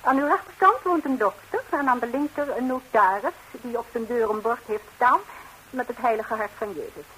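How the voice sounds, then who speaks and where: A betrayed lady of the house in a 1960s radio comedy. Her voice is fairly high, and she stays composed, delivering sharp, deliberate retorts. A faint hiss runs under the old recording. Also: low-quality recording. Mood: dry, vengeful. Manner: cool, pointed, deliberate retorts.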